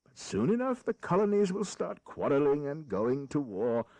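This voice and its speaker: Worn out prime minister, wry and theatrical, very animated voice, history radio play. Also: male voice, fairly high pitch, moderate pace, dry recording. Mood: persuasive. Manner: theatrical, wry, deferential to the king. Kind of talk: dramatized history audio acting.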